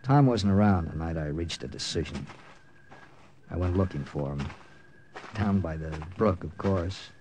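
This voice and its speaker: A man's voice: low-pitched, lively intonation, measured pace, pauses often, warm tone, slight background noise. A man acting a tough, grim American intelligence captain who narrates an old radio drama tersely. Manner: terse, hard-boiled. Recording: old radio recording with music behind.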